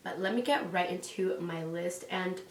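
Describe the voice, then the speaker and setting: A young woman with a low-set voice chats casually at home, rambling and filling her talk with "like" and "um." She sounds stuffy and congested from a cold. The echoey room and her distance from the mic give it a loose, hollow sound. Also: fairly even intonation, moderate pace, slight background noise. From California. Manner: rambling, many "like"s and "um"s. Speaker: parenting vlogger. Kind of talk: casual chatty vlog. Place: indoors at home.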